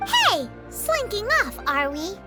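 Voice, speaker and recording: A woman's voice: extremely high pitch, wildly swooping pitch, slow pace, music in the background, exaggerated voice. A woman voicing a tiny, cheeky female fairy companion in a video game, pouty and exclaiming. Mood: grumbling. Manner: third-person self-reference, pouty, exclaiming.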